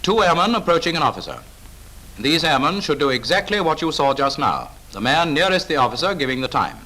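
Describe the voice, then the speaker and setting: A man's voice: fairly high pitch, sing-song, fairly quick, sharp tone, rough recording. A British man narrating a 1940s instructional film in a clipped, authoritative, instructive manner, on an old film soundtrack.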